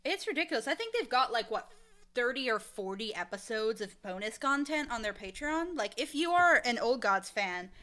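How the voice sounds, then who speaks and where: Bright, forceful-voiced woman being interviewed. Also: fairly high pitch, big pitch swings, normal speed. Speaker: interview guest, audio drama creator and casting director. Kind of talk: casual interview chat.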